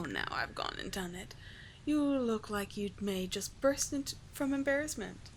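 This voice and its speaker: A regal, doting sea witch, played by a woman, speaks in a soft, breathy, medium-pitched voice that often sinks toward a whisper. She coaxes and teases in formal storybook phrases, as a soothing mentor in an ASMR roleplay. The recording is close, with a faint low rumble beneath. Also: natural voice, poor audio. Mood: teasing.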